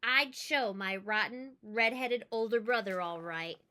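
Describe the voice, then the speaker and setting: A woman narrates a children's picture book in a bright, fairly high voice. She takes her time and leans into the words with animated, expressive emphasis. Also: a little slow. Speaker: read-aloud storyteller, teacher.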